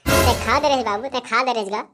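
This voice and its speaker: Cartoon casting: woman playing a nagging little boy, Chhattisgarhi accent, high-pitched, music clearly underneath. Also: a little fast, close to an everyday voice. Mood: insistent. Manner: nagging, insistent, repeats himself. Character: whiny stubborn little boy. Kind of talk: cartoon voice acting.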